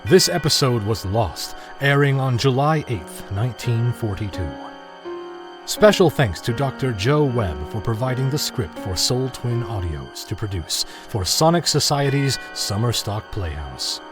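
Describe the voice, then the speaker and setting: A male announcer reading closing credits in a formal, even manner. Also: mid-range voice, a little slow, over music.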